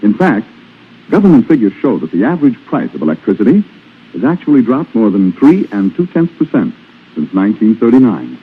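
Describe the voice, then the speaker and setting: Male radio announcer, 1940s sponsor pitch, very lively intonation, narrow tinny old broadcast. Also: brisk, slight background noise. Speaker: commercial announcer. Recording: thin, band-limited 1940s radio broadcast.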